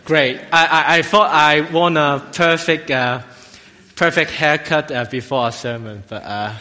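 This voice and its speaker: A man with a Hong Kong accent giving an informal, jokey, halting sermon as a lay minister to a church congregation. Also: fairly high pitch, sharp tone, a little hiss or hum. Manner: halting, jokey, self-deprecating. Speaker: assistant lay minister preaching. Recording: long hall reverb.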